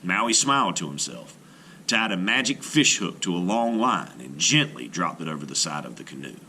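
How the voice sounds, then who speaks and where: Animated, deep-voiced male storyteller. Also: moderate pace, slight background noise. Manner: dramatic, carefully phrased storytelling. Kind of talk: expressive children's read-aloud narration.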